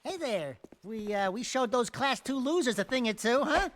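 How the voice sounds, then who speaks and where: High-pitched, cocky critter sidekick. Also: male voice, lively intonation, normal speed, a bit bright, slight background noise. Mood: cocky, pleased. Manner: motormouth, brash, hammy. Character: cocky, wisecracking small furry male animal sidekick. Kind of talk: video game cutscene acting.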